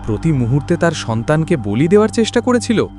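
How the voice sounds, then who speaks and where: A man's voice: a little high, very animated, quick pace, a little mellow, soft background music. A man narrating a scripted horror story in a theatrical, suspenseful and emotive manner.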